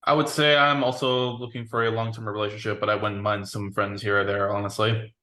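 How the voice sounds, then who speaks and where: A man's voice: on the low side, level tone, fairly quick, pushed and bright. A man in his twenties on a dating show, speaking casually and frankly. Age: 20s.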